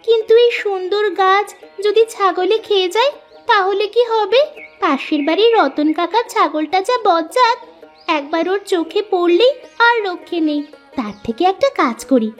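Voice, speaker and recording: A woman voicing a poor, earnest orphaned village girl in a moral-story cartoon. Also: very high-pitched. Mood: busy, earnest. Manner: exclaiming, talks to herself aloud. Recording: music behind the voice.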